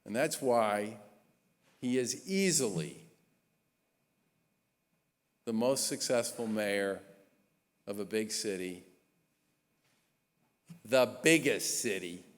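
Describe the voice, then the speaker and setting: A man in his sixties, a state governor, giving an earnest, emphatic introduction speech with measured pauses at a gala. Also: on the low side. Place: large historic church. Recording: long reverb of a large church hall.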